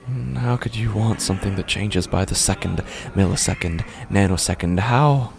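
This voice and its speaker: An actor playing an anxious, cynical, philosophizing man in a horror audio drama, with a brooding, halting delivery full of questions and pauses. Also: lowish voice, creaky, a crowd in the background. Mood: agitated questioning. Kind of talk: first-person dramatic monologue, horror audio fiction.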